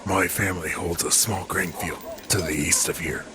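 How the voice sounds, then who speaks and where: A man's voice: deep voice, steady tone, normal speed, noisy background, close to an everyday voice. A man playing a nervous young dwarf farm recruit, hesitant and deferential, in a fantasy audio drama. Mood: nervous deference. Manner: hesitant, stammering.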